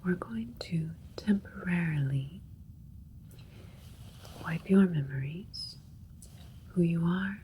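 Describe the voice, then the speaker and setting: A calm alien doctor, played by a woman whispers slowly and gently in a low register. She is clinical and reassuring, like an ASMR spaceship procedure, with faint ambient noise behind her. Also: low-quality recording. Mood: calm, explanatory. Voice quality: breathy whisper. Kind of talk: ASMR sci-fi roleplay. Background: soft alien spaceship ambience.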